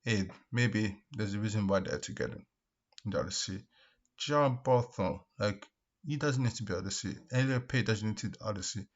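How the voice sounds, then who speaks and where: Male video commentator, lowish and raspy, emphatic but halting, repeats points, dull lo-fi sound. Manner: repetitive, emphatic, halting with restarts. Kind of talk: casual opinion commentary, reacting to comments.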